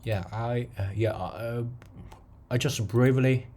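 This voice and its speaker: A man whose first language is not English, hosting a casual coding tutorial, talking haltingly with many fillers and restarts and chuckling often. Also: mid-range voice, dark tone, slight background noise.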